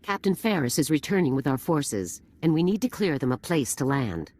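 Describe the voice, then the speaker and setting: A woman playing a stern, decisive general giving orders in a video game, formal and crisp. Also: low-pitched, very animated, moderate pace, a little mellow. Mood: resolute. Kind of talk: video game cutscene acting.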